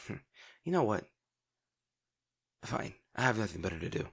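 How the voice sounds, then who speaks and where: A young man performs an abrasive teenage bully who cares more than he admits. His husky voice swings widely in pitch. He talks gruffly and straight at the listener, with curses and sighs, in the style of an intimate audio drama. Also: sing-song, fairly bright tone, exaggerated voice. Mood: muttering, irritated. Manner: gruff, swearing, sighing, halting when upset. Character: brash teenage bully boy, abrasive but secretly caring.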